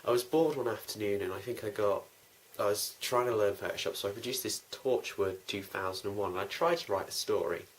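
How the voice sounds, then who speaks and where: A man's voice: lowish voice, fairly quick, hardly any pauses. A young man talking casually and in a rambling, informal way as host of a behind-the-scenes vlog. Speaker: host, writer and producer of a fan audio drama. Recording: noisy amateur recording with clicks.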